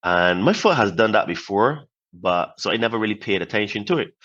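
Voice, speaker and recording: Deep-voiced Barbadian-born man, lo-fi. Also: lively intonation, a little fast, fairly bright tone. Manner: speaks in short phrases, repeats "you know". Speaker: podcast guest. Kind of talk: reflective storytelling.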